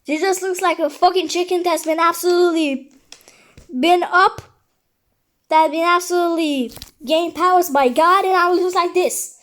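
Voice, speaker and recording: Young woman doing a reaction vid, high voice, blurts little comments n exclamations. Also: fairly even intonation, moderate pace, fairly bright tone. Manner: blurts short remarks and exclamations. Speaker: reaction video host. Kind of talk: casual live reaction chat.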